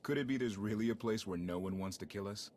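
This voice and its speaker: An actor playing a loyal, easygoing pilot and comrade in a sci-fi video game cutscene. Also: male voice, fairly low pitch, quick pace, a little mellow, a little hiss or hum, natural voice. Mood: wry, casual.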